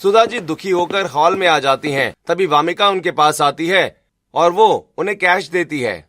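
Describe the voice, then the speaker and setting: A man's voice: fairly high pitch, moderate pace, bright, forceful tone, slight background noise. A man reading scripted narration for a cartoon family story.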